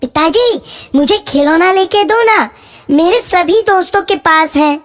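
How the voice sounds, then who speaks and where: Very high-pitched little boy voiced by a woman. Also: a little slow. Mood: pleading. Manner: childlike, pleading. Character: small village boy, pleading son in a cartoon.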